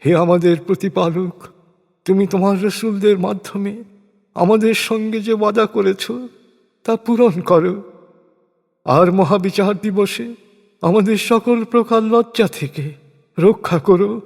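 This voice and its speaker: Male reciter for scripture narration: higher-pitched voice, solemn, declamatory delivery with deliberate pauses, heavily reverberant sound. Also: fairly even intonation, creaky, a little mellow. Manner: measured, declamatory, with deliberate pauses between phrases. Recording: long cavernous reverb.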